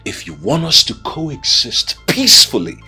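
A man's voice: fairly high pitch, wildly swooping pitch, moderate pace, pushed and bright, faint music behind, exaggerated voice. A young actor playing a defiant, lovesick son who earnestly confronts an older rival and then his mother. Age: young adult. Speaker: son in love with a girl.

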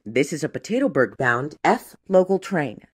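A woman's voice: low voice, sing-song, moderate pace, slightly rough. A woman giving scripted, formal train announcements in segmented phrases, like an automated transit system.

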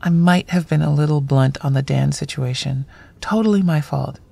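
An actress playing a self-deprecating screenwriter in a naturalistic audio drama, with dry, sardonic banter. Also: female voice, sits low, moderate pace, vocal fry, mellow, exaggerated voice. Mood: sheepish. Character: wry self-deprecating woman screenwriter among close friends.

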